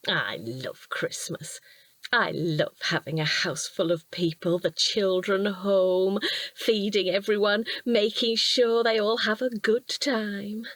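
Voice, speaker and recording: A woman playing a cheerful middle-aged British housewife who loves Christmas, chatty and gently chiding, in an audio drama. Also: mid-range voice, fairly even intonation, takes it slowly, dry recording. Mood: warm, nostalgic.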